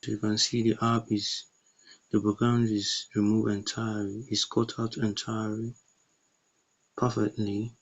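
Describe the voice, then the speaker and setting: A man's voice: lowish voice, little pitch movement, a little slow, highs cut off. A man narrating a step-by-step app tutorial in plain, short instructions.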